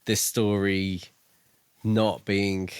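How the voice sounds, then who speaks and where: A man co-hosting a podcast, discussing a book in a casual, measured and reflective way. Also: lowish voice, level tone, smooth, dry recording. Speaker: podcast co-host.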